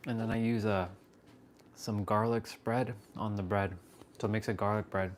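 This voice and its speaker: A man's voice: fairly low pitch, steady tone, moderate pace, a little hiss or hum. A Canadian-born man hosting a live stream, chatting casually as he cooks and answers viewer questions. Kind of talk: casual live-stream chat while cooking. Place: home kitchen.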